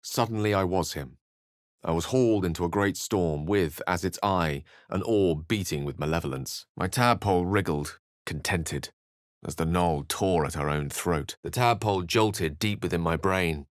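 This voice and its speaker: A man playing a learned wizard from a fantasy game, speaking in a lowish register. He is articulate and erudite, with a theatrical turn to his phrasing, and the recording is close and dry. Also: normal speed, no room sound, close to an everyday voice. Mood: grave, brooding. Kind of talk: video game character acting and narration.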